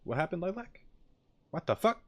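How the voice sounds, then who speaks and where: A man's voice: high-pitched, sing-song, moderate pace. A man chatting casually to his stream viewers as he reacts to game videos, informal, joking and blunt.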